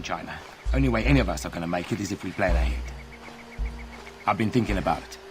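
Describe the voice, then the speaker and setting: A man's voice: low voice, noisy background, lightly acted. A man playing a jaded veteran mercenary in a video game, grim and confiding in manner. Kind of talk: video game cutscene acting.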